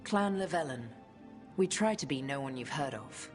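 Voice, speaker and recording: A solemn elf woman in a fantasy game drama. Her voice is low, measured and reflective, with a wistful weight to it. Faint music runs beneath. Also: expressive. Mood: guarded. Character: solemn, wistful female elf inquisitor, once betrayed in love.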